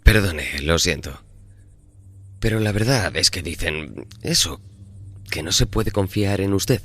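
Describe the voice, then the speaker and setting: Man playing deep-voiced, wary, earnest bargainer. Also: expressive, takes it slowly, a bit bright, breathy edge, heavily acted. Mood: wary, apologetic. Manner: hesitant, earnest, politely questioning. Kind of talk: audio horror drama acting. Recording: music behind the voice.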